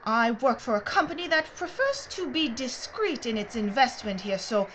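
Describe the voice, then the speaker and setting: An actress playing a brisk, secretive mining executive in an audio drama, speaking in a precise, formal way. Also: female voice, fairly high pitch, big pitch swings, fairly bright tone, low-quality recording. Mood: brisk, explanatory. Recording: clear background noise and ambience.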